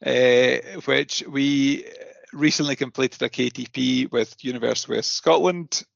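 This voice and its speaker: Guy hosting a podcast, bit of fry in the voice, stop-start and chatty, kinda muffled recording. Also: medium pitch, steady tone, measured pace, no room sound. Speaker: podcast host. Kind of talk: casual podcast chat.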